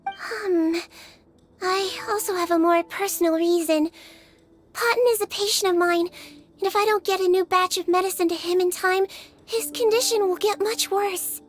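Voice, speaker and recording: A woman's voice: very high voice, steady tone, moderate pace, soft background music. A woman voicing a small, earnest young girl nurse in a video game, with a polite, eager, childlike manner. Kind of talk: scripted video game voice acting.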